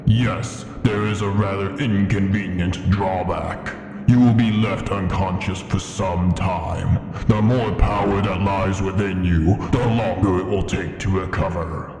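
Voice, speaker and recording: An old, haughty dragon acted by a man holds forth in a deep voice, weighing each formal word slowly. The sound rings with long cavernous reverb, in an immersive fantasy ASMR roleplay style. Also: fairly even intonation, a little mellow. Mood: measured, instructive. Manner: slow, imperious, formal and deliberate. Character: ancient proud male dragon in his cavern lair. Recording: echoing cavern reverb with music behind. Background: music.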